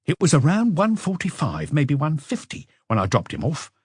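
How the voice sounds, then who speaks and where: A man's voice: a little high, huge pitch swings, normal speed, husky, dry recording, studio quality, pitched above the performer's own voice, exaggerated voice. A man voicing a plain-spoken male taxi driver who gives blunt, factual testimony in an audiobook.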